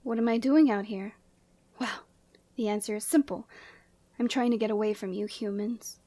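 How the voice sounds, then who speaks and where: A woman playing a proud, commanding yeti woman in a scripted ASMR roleplay, speaking in an imperious, measured and formal way. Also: medium pitch, fairly even intonation, mellow, no echo, lightly acted. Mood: aloof, intrigued.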